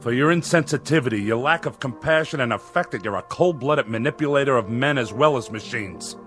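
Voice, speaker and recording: An actor playing a bitter, principled long-serving employee in a radio drama, deliberate and dryly scornful. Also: male voice, medium pitch, fairly bright tone, faint music behind, lightly acted. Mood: indignant.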